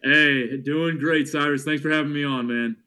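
A man's voice: on the high side, fairly even intonation, sharp tone, smooth. A man who calls games for a living, a guest on a sports podcast, talking through numbers casually and correcting himself as he goes. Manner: stat-heavy, quick self-corrections. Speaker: team broadcaster and podcast guest. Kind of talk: casual sports analysis chat.

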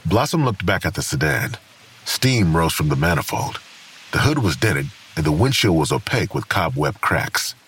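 Crime drama narrator: American, bottom-register voice, grave and measured, expressive intonation. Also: male voice, rumbling low, slight background noise, full character voice. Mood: grave, detached. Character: grave, detached male narrator of a crime story. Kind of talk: scripted noir crime narration. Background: faint music.

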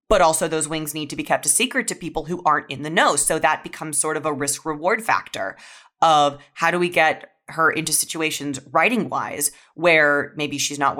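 A woman with a notably low voice that has a bright, pressing edge. She explains her writing as a podcast guest, articulate but informal, in a casual discussion. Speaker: podcast guest, audio fiction writer.

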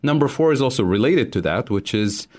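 A man's voice: mid-range voice, lively intonation, a little fast, a little mellow. A man in his forties from Tunisia, an economics professor explaining ideas methodically in numbered steps as an interview guest. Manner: methodical, lays out points in numbered order.

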